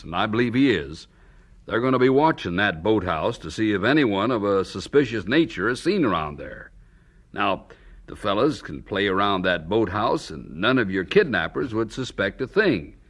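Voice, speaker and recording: An actor playing a steady small-town sheriff in a 1970s radio drama, plain-spoken, folksy and careful. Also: male voice, fairly low pitch, moderate pace. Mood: steady, practical.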